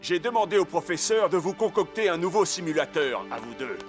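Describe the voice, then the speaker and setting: A firm and composed football coach from a cartoon. His voice is pitched high for a male, with faint music underneath. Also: very animated, brisk. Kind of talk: dubbed cartoon acting.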